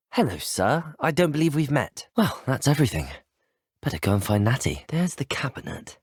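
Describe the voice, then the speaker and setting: An actor with a British accent playing a polite, earnest, curious teenage wizard student in a video game, speaking in a well-spoken, measured manner. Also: male voice, mid-range voice, darkish tone, slightly rough, no room sound, studio quality. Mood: polite, inquisitive. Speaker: video game player character. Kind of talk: scripted game voice acting.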